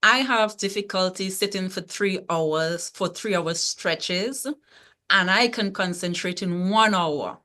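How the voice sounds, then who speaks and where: A woman from Tobago, a librarian, talking as a guest on a panel in a measured, explanatory way. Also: on the low side, bright, forceful tone. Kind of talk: online panel talk.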